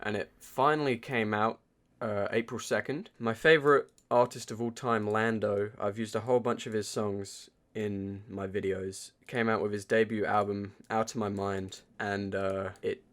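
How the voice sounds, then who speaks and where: A man's voice: fairly low pitch, fairly even intonation, normal speed, few pauses, fairly bright tone. A man co-hosting a podcast, giving casual, enthusiastic reviews in informal, sweary language. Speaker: podcast co-host. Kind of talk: casual pop culture review chat.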